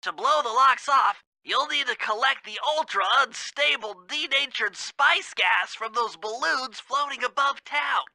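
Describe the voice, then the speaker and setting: A man's voice: extremely high pitch, unhurried, hardly any pauses, sharp tone, no room sound, full character voice, poor audio. A man voicing a brainy, anxious animal gadget expert in a cartoon video game, nasal and precise in formal technical phrasing. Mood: matter-of-fact, precise.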